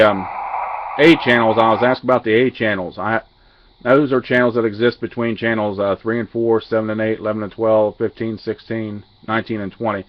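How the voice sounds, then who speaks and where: A man giving an unscripted technical walkthrough as a radio hobbyist, halting and pausing mid-sentence. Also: fairly low pitch. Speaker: amateur radio hobbyist demonstrating equipment. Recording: dull lo-fi audio, faint radio hiss.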